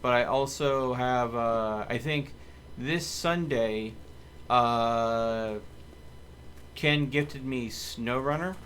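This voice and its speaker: Male streamer, mid-pitched, casual and playfully joking, talking over faint noise. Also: drawn-out words, a bit bright. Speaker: game streamer. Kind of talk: casual gaming livestream chat.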